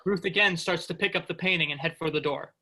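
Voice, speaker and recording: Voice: mid-range voice, quick pace, pushed and bright. A narrator reading stage directions from a script for a play.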